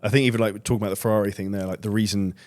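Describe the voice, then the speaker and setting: A man's voice: fairly low pitch, fairly even intonation, very fast, darkish tone. A man hosting a podcast in a casual interview style, blunt and given to swearing. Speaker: podcast host, online business coach.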